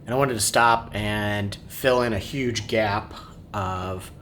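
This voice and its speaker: A man's voice: slow pace, a bit bright. A man who vlogs family travel, giving an unscripted recap in a downbeat, regretful manner. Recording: clear background noise, low rumble.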